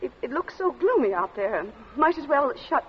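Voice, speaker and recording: High, fluttery, anxious mother. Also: big pitch swings, moderate pace, a little hiss or hum. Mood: flustered. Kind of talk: 1940s radio drama acting. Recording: muffled, reverberant old radio recording.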